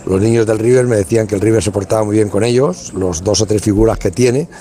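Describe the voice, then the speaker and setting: Male tournament organizer from Spain, deep warm voice, rambling colloquial radio guest. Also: fast talker. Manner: rambling and colloquial, lots of restarts and tag questions. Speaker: youth football tournament organizer. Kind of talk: casual radio interview.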